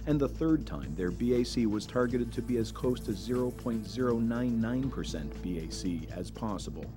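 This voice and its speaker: A man giving measured, earnest scripted narration for a safety documentary. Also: medium pitch, expressive. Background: background music.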